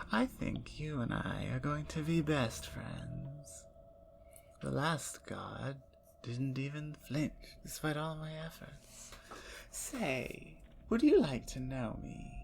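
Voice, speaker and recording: A woman voicing a playful, talkative female vampire in an ASMR roleplay, teasing and dropping into soft whispers. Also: rumbling low, creaky, slight background noise, full character voice.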